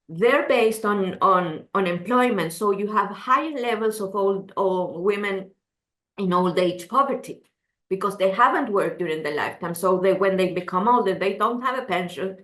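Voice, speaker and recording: A woman from Chile, speaking English as an interview guest, answering earnestly with frequent restarts and "you know". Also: mid-range voice. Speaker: gender adviser, interview guest. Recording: roomy echo.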